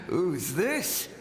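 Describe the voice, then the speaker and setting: A possessed swordsman drawls taunts very slowly in a high, swooping, echoing voice. He is cocky and hungry for battle in an anime game. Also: fairly bright tone, heavily acted. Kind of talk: anime video game voice acting. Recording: echoey, with background noise.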